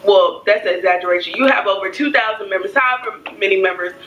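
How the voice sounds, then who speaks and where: A woman's voice: medium pitch, fast talker, a bit bright, echoey room, distant mic, muffled recording, a little hiss or hum. A young adult woman telling a personal story casually to camera, speaking emphatically and repeating phrases for stress. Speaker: vlogger. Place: home kitchen, indoors.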